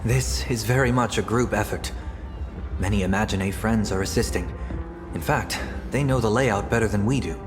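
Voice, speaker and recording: An actor voicing a calm, refined, idealistic male leader in a video game, with eloquent, measured, formal speech. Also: lowish voice, music in the background, natural voice. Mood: calm, reflective. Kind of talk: game voice acting.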